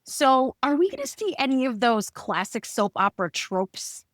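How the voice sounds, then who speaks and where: A woman's voice: sits high, sing-song, normal speed, dry recording. Enthusiastic woman interviewer, very dry sound. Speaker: podcast interviewer. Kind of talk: casual interview chat.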